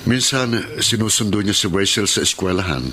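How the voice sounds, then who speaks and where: A man's voice: on the low side, moderate pace, lightly acted. A man playing a weary, protective middle-aged father in a Filipino radio drama, speaking in an earnest, paternal way. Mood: troubled, confiding. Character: weary, protective single father, stern but self-sacrificing. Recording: noisy radio drama audio.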